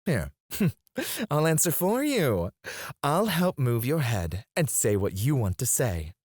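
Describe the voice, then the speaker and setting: A man playing an obsessive, controlling CEO in an intimate ASMR roleplay, speaking in a deliberate, condescending, menacingly doting way with a breathy voice. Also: medium pitch, very animated, darkish tone, dry recording, studio quality, full character voice. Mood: mocking, playful. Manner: slow, deliberate, condescending, swinging between murmurs and outbursts.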